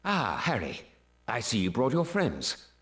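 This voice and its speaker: A man voicing a gentle, weary male wizard teacher, speaking in a calm, kindly, soft-spoken way in a game cutscene. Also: mid-range voice, measured pace. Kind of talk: video game cutscene acting.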